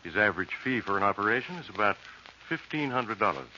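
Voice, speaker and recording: An actor playing a grave, tactful doctor in a 1940s radio drama, measured and professional, on a dull, noisy recording. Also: male voice, fairly low pitch, big pitch swings, sharp tone, exaggerated voice.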